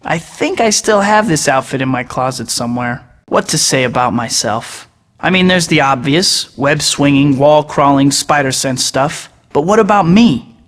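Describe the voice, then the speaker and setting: Wisecracking young superhero. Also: male voice, on the high side, expressive, moderate pace, big-room sound. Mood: wry narration. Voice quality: bright. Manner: sarcastic, teasing. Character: wisecracking young male superhero, cocky and joking. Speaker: video game hero voice. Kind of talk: quippy game voice clips.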